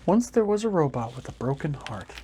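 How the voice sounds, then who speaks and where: A man reading a children's picture book aloud in an expressive storytelling manner. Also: fairly high pitch, a little fast, a little hiss or hum. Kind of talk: children's story time narration.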